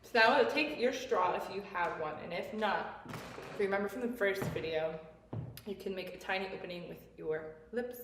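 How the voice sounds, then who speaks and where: A woman's voice: mid-range voice, expressive, moderate pace, fairly bright tone, slight background noise. A woman coaching singing exercises step by step, encouraging and given to playful asides. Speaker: singing teacher leading vocal exercises. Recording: echoey room, distant mic, music notes behind.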